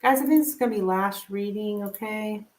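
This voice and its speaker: A woman hosting a casual live tarot reading, speaking informally and haltingly as if thinking aloud. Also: mid-range voice, darkish tone. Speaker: tarot reader, live stream host. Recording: roomy, echoing live stream sound.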